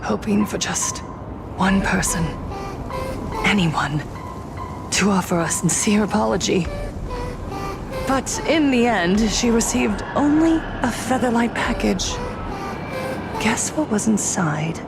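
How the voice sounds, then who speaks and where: Slow-speaking, wounded young storyteller. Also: female voice, mid-range voice, animated, gravelly, over loud music. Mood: bitter, measured. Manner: measured, storytelling. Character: bitter, wounded young woman recounting her tragedy. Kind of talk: dramatic game narration.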